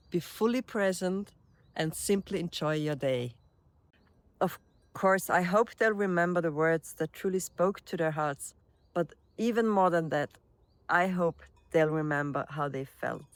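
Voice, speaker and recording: A woman with an Austrian accent and a slightly low voice introduces her work as a wedding celebrant. Her delivery is scripted but personal, with reflective, measured phrasing. The recording is dry, with very little room sound. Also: no echo. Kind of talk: promotional self-introduction, scripted narration to camera.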